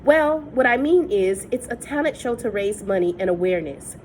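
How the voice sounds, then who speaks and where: A woman's voice: mid-range voice, expressive, moderate pace, a little mellow, a little hiss or hum, close to an everyday voice. A woman voicing a confident teenage girl activist who speaks in a matter-of-fact, earnest way. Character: confident teen girl activist, self-assured.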